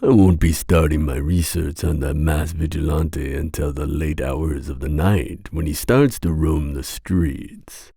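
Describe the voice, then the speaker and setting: A man playing a masked villain mercenary in an ASMR roleplay, speaking in a formal, deliberate, seductive and menacing way. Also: rumbling low, vocal fry, dark tone, husky, no room sound, studio quality, full character voice. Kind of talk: ASMR roleplay audio drama.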